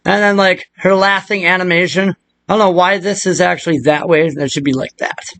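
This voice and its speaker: A man with a notably high-pitched voice walks through a game editing tutorial. He talks casually and loosely, thinking aloud as he goes, with an irreverent, rambling delivery. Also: fairly even intonation, a little fast, creaky, a bit bright. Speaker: tutorial presenter. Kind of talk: casual, improvised screen-share game modding walkthrough.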